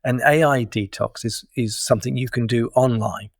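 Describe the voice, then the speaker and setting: Middle-aged British man, podcast guest, measured and explanatory, mid-range voice, dry studio. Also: medium pitch, gravelly, no room sound. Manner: thoughtful, explanatory, with small restarts. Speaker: guest, digital detox coach. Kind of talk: casual interview talk.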